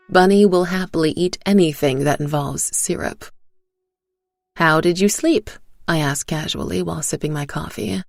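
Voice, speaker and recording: A woman giving scripted first-person narration for a fiction podcast in a soft, measured, dramatic manner. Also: low voice, gravelly, dry recording, studio quality.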